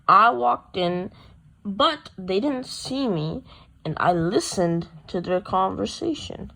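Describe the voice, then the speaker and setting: A woman's voice: mid-range voice, lively intonation, slow, gravelly, fairly bright tone, rough recording. A woman giving scripted narration as a detective's partner in an amateur radio play, with some noise and clicks on the recording.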